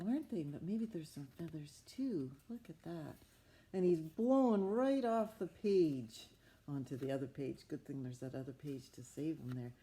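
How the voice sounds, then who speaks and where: A woman's voice: low voice, gravelly, mellow. A woman improvising a story from a picture book, talking expressively and with wonder, as if to young children. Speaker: storybook narrator.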